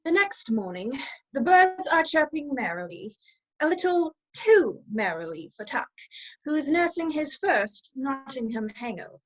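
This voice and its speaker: A woman with a high-pitched voice narrates an audio drama. She reads scripted scene descriptions as a storyteller. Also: sing-song, a little slow, low-quality recording. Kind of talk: storytelling narration of a radio play.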